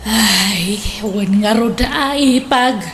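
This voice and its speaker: A woman's voice: mid-range voice, slow pace, a bit bright, a little hiss or hum. A woman playing a pious, meek mother in an Ilocano radio comedy drama, with an Ilocano accent. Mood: earnest.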